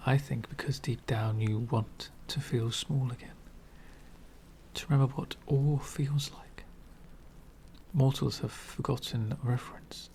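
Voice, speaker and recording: A man playing an elegant, centuries-old vampire gentleman, speaking softly and half whispering in a refined, wistful ASMR roleplay. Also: medium pitch. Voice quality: soft, breathy whispering. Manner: refined, wistful, slow and deliberate.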